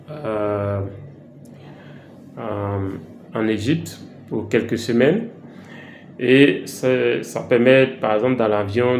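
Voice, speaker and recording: A man with an Ivorian French accent teaching a casual language lesson, slow and repetitive with frequent hesitations. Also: on the low side, a little mellow. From Côte d'Ivoire. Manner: slow, repeats words for learners, frequent hesitations. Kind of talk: informal language lesson vlog. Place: travelling, recorded in an echoey room. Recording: echoey room, faint background hiss.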